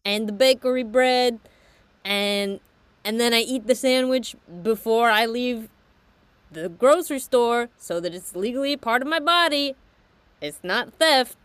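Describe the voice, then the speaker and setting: A woman's voice: on the high side, unhurried, a bit bright, clear, resonant tone, dry recording. A woman playing a brash, indignant man with a thick Italian-American accent in an improvised comedy sketch.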